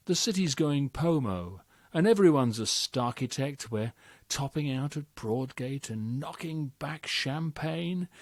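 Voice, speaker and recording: A man giving a slow, measured reading of a poem, recorded close and dry. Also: a little high, no room sound. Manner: measured, deliberate delivery. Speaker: poetry reader. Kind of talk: slow dramatic poetry reading.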